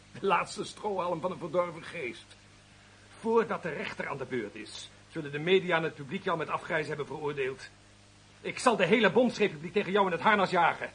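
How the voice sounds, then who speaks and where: An actor in a radio drama playing a vindictive, power-drunk official, theatrical and menacing, moving between hissed threats and bellowing. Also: male voice, fairly high pitch, normal speed, a bit bright, close to an everyday voice, poor audio. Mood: scornful, threatening.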